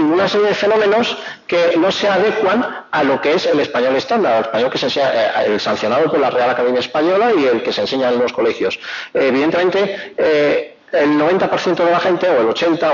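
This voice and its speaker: A man's voice: fairly high pitch, dull audio. A middle-aged man from Spain with a Madrid accent, giving a public lecture on linguistics in an emphatic, conversational way with frequent fillers and restarts. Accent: Madrid Spanish.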